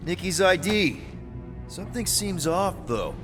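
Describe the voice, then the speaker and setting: An actor playing an easygoing, boyish space-adventurer team leader in a video game, talking in a casual, expressive way. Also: male voice, sits high, measured pace, over music. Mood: curious.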